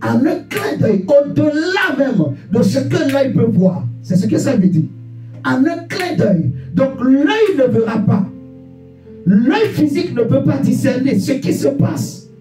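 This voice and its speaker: A man's voice: very high voice, big pitch swings, measured pace, warm tone. A man preaching a sermon in an emphatic, exhorting manner, repeating phrases and putting rhetorical questions to his listeners. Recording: echoey room, distant mic, faint music.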